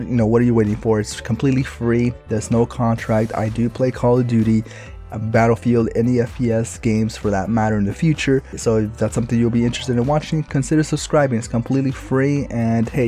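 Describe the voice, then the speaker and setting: A man with a raspy, recovering voice, giving casual gameplay commentary for his own video in a rambling, laid-back way with lots of fillers. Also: mid-range voice, dark tone. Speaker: gaming YouTuber.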